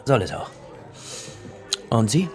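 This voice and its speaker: A man's voice: medium pitch, sing-song, slow pace, faint music behind. An actor playing a teasing, enigmatic man with a playful, clipped manner in radio crime drama dialogue. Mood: teasing, cryptic. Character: teasing, enigmatic male archaeologist from Cologne.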